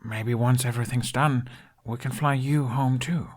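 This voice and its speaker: An earnest young storybook prince, acted out by a man, dreamy and hopeful. His words come eagerly, as if he is searching for the next one, and his pitch sits a little above the narrator's low register. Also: fairly even intonation, moderate pace, a little mellow, natural voice. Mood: gentle.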